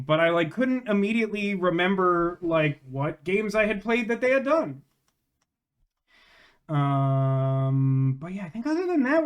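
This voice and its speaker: A man hosting a gaming livestream, chatting casually to viewers and thinking aloud in a rambling way. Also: high voice. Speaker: livestream host, gamer.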